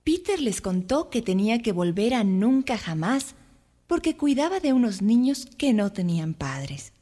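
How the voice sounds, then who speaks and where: A woman narrating a scripted children's story with expressive, careful phrasing. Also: a little high.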